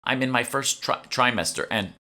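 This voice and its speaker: A quick-thinking young heroine of an audiobook, voiced by a man, speaks in urgent, halting bursts. The pitch is lifted above the narration, with a bright, pressing tone. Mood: faking sickness. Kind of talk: audiobook character dialogue.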